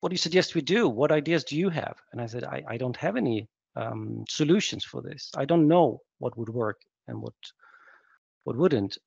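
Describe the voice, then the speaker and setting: A man from Sweden giving a webinar talk as guest speaker on a video call, hesitant and reflective with many pauses. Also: medium pitch, animated, gravelly, darkish tone, slightly rough, no echo. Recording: dull lo-fi call audio.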